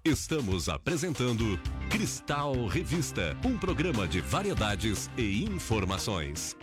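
A man's voice: mid-range voice, sing-song, measured pace. A man reading a scripted program promo as the announcer. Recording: voice-over over music.

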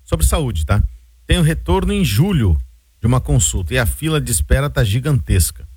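A man's voice: medium pitch, big pitch swings, normal speed, a little mellow, slightly rough, no room sound. A man with a Brazilian accent co-hosting a radio show, reading out listener messages in a casual way. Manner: casual, reads messages aloud. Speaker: radio co-host.

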